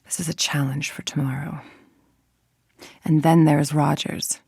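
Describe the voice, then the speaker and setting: A scheming female scientist for a video game: low, heavily creaky voice, formal, controlled, dryly superior. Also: little pitch movement, measured pace, a little mellow, no room sound, studio quality, heavily acted. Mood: clinical, scheming. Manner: articulate, formal, dryly condescending. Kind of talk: scripted game monologue, dictated logs and letters.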